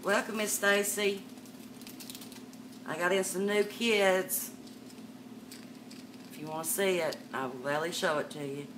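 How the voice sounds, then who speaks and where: Older woman host, low voice, chatty live-sale patter, webcam a bit far off with room noise. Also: steady tone, unhurried, pauses often. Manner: chatty, calls viewers darling and miss. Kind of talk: live sales stream, chatting to viewers. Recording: distant mic with background noise.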